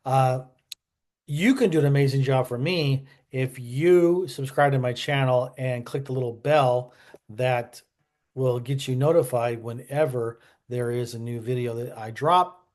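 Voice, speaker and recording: A man with a medium-pitched voice hosts a history vlog. He talks in a casual, colloquial way, offering opinions and drifting into asides as he explains. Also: steady tone, measured pace, darkish tone. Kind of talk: casual, conversational history commentary.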